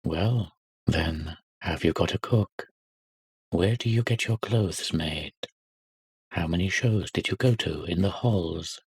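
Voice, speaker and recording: A man voicing snobbish, sneering prep-school boys, quieter and a little higher than his narration, with a husky tone. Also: takes it slowly, no room sound, full character voice.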